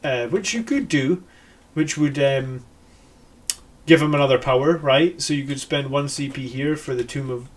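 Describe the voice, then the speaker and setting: A man's voice: medium pitch, normal speed. A Scottish man hosting a live stream, thinking aloud about army lists with many fillers and self-corrections. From Scotland. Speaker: host reviewing army lists. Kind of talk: live-stream casual commentary.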